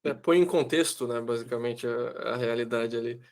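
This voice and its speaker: A man hosting a podcast, animated and slangy, and he acts out what other people might say. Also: medium pitch, moderate pace. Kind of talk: casual interview chat.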